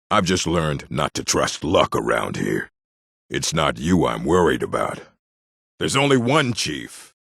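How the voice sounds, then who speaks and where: Very deep, gravelly orc man. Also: fairly bright tone, no room sound, studio quality, heavily acted. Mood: brooding. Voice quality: gravelly, husky. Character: gruff adult male orc of a fantasy stronghold. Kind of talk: fantasy video game character dialogue.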